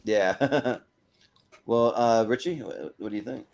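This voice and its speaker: A man hosts a relaxed panel chat in a mid-pitched voice. There is plenty of fry in it. He comes across as agreeable and easygoing as he moves the talk along. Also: takes it slowly. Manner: agreeable, easygoing, often chuckling. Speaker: host of a panel show.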